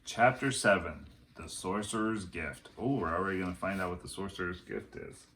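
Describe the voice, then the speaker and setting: A man reading a children's chapter book aloud as a teacher would, with expressive, dramatic phrasing. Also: deep voice, moderate pace, a little hiss or hum. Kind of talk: storybook read-aloud narration.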